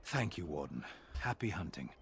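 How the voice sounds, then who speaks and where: An actor playing a world-weary guard sergeant in a video game, dry and emphatic, used to giving orders. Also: male voice, very deep voice, very animated, moderate pace, creaky, husky, heavily acted. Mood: apologetic.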